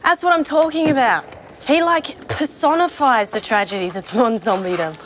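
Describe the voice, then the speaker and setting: An actress plays a freshly turned female zombie with strong opinions and a fairly high voice. She rants in a sardonic, sweary, chatty way, as in a naturalistic comedy short. The location sound is clearly noisy. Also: normal speed, close to an everyday voice. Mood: ranting. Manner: sardonic, sweary, conversational ranting. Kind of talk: naturalistic short-film comedy acting, mock interview.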